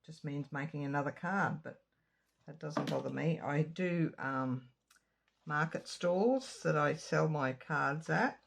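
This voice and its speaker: A woman with a slightly croaky throat giving a chatty card-making tutorial, drifting into asides while she works. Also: sits low, takes it slowly, noisy background. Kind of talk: casual craft tutorial. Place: home craft room.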